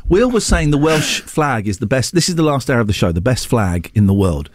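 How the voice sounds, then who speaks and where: A man's voice: medium pitch, very animated, a little fast, a little hiss or hum. A man hosting a casual show, playful and laughing often as he tells silly jokes. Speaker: host. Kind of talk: joking casual chat.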